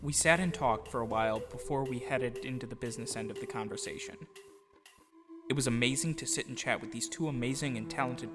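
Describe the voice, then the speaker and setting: A man narrates a personal account with music clearly playing behind him. His voice sits at a middle pitch, and he reads in an earnest, reflective storytelling style. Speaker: audio drama producer and voice actor. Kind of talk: scripted first-person storytelling.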